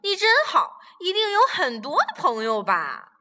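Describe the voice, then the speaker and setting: Woman putting on a gentle sea creature, kindly, high bright voice, lively, reverb, light music. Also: measured pace, heavily acted. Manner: soft, kindly, gently questioning. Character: gentle lonely female sea reptile, kind. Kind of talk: children's audio story acting. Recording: reverberant room with music underneath.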